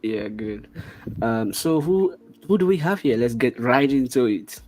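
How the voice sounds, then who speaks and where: A man with a Nigerian accent hosting a casual online interview, relaxed and chatty, with many short acknowledgements. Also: mid-range voice, measured pace. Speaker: livestream host.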